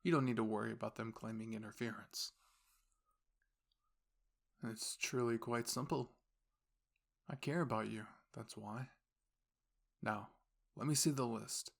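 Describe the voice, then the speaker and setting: Man playing a young male student, gravelly edge, formal measured phrasing, gently reassuring, caring boyfriend, intimate audio roleplay. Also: lowish voice, fairly even intonation. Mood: calm, reassuring.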